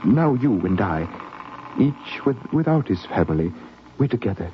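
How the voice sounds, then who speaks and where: A man acting an educated, kindly doctor in a 1950s radio drama, measured and articulate with a dry wit. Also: fairly low pitch, very animated. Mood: warm, earnest. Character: educated English doctor, kindly, principled, dryly witty. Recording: muffled, hissy 1950s radio recording.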